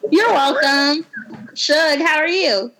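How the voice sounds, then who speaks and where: A woman co-hosting a podcast in casual chat, a little raspy from a cold, playful and teasing. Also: high-pitched, takes it slowly, bright, forceful tone, low-quality recording. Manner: playful, teasing, laughs often. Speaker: podcast co-host.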